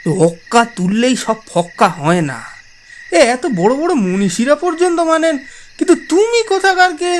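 A man's voice: very high voice, sing-song, a little slow, a little hiss or hum, exaggerated voice. A man playing a wry believer in ghosts, narrating a horror audio drama in a theatrical, teasing way. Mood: exasperated, insistent.

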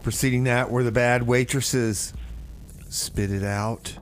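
A man presents music on the radio at an unhurried pace. His delivery is folksy and self-deprecating, with hesitations and repeated phrases. There is some noise behind his voice. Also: medium pitch, fairly even intonation. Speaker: radio DJ and show host. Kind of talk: rambling music radio presenting between songs.

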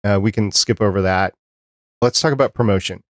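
Older-ish podcast host guy, lowish voice, wry, super dry close mic. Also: a little fast, no echo. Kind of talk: casual interview. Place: home office, video call. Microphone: close studio mic with headphones.

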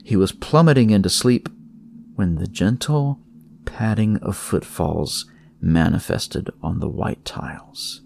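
A man narrating scripted horror fiction in a measured way, with dramatic pauses. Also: fairly low pitch, expressive, creaky, darkish tone, a little hiss or hum.

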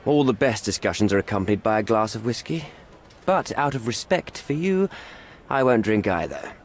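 Casting: middle-aged diplomat in a video game, cornered and evasive, highly animated intonation, placating and formal. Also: male voice, normal speed, a little hiss or hum. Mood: resigned, courteous. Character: cornered middle-aged British envoy, evasive, smooth-talking, pleading. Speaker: British envoy. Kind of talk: video game cutscene acting.

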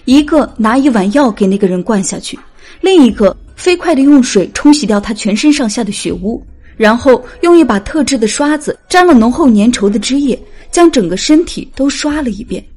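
A woman narrates an audiobook in a high, very soft-toned, mellow voice. Her delivery is dramatic, scripted storytelling. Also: animated, moderate pace, husky.

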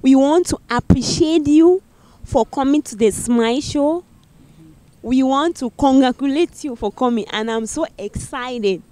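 A woman in her forties from Cameroon hosting a talk show, effusive and full of excitement, speaking into a handheld mic outdoors in a park. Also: sits high, measured pace, rough recording. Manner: effusive, repeats excitement, laughs often. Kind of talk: enthusiastic interview hosting. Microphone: handheld microphone.

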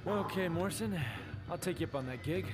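An American actor playing a cocky, laid-back, wisecracking demon hunter in a video game cutscene. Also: male voice, high-pitched, a little fast, loud music behind the voice, lightly acted. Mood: wry, challenging.

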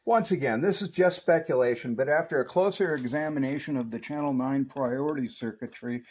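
A man with a medium-pitched voice presents a hands-on electronics review. He explains things in a methodical, technical way, moving from one detail to the next like someone demonstrating at a bench. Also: fairly quick, breathy edge. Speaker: presenter of radio equipment reviews.

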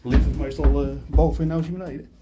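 A man's voice: on the high side, steady tone, fast, highs cut off, heavy background noise. A man in his forties vlogging casually from a camera held at arm's length, musing aloud with lots of fillers as he rides around and heads home. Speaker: urbex vlogger. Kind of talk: casual vlog commentary. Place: outdoors on a scooter, later indoors in a stairwell.

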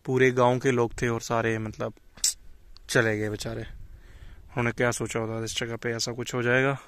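A man's voice: medium pitch, level tone, normal speed, a bit bright, no room sound. A young man casually narrating a travel vlog outdoors and in a small cave, talking to viewers as friends and exclaiming at the sights. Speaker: travel vlogger.

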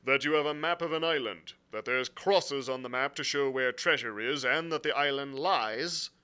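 A man's voice: medium pitch, moderate pace, bright, forceful tone, smooth, pitched above the performer's own voice, lightly acted. A man voicing a stern, stubborn ship's captain in an adventure story, clipped and plain-spoken. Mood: firm, insistent.

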